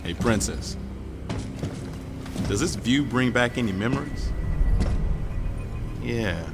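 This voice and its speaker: An actor playing a cocky, easygoing soldier in a video game, with a casual, teasing manner. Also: male voice, mid-range voice, expressive, slow, over music. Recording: long reverb, noisy outdoor ambience.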